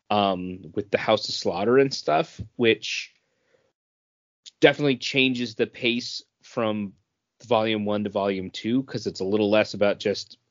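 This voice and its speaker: A man's voice: medium pitch, no room sound. Guy podcast co-host just chatting about books, dry sound, kinda muffled lo-fi. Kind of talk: casual comic book review chat.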